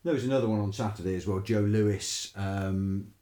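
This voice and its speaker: Guy hosting a football podcast, lowish voice, roomy echo, just chatting. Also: fairly even intonation, fairly quick, mellow. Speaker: podcast host. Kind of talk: casual football discussion. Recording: roomy, echoey voice pickup.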